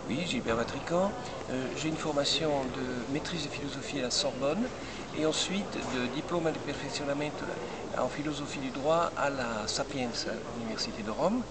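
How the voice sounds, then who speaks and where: Older man, business recruiter type, measured and halting, heavy background noise. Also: medium pitch. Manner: measured, many hesitations and restarts. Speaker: recruitment firm head. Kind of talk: interview answers.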